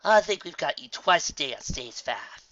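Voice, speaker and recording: A man's voice: on the high side, big pitch swings, moderate pace, fairly bright tone, no room sound, muffled recording, voice pushed up. A man voicing a bustling, folksy middle-aged female pony mayor in a storybook reading, chatty and motherly. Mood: friendly, bustling.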